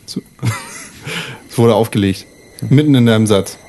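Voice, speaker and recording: A man's voice: fairly low pitch, moderate pace, dark tone. A man improvising scene narration as a player in a tabletop role-playing podcast among friends.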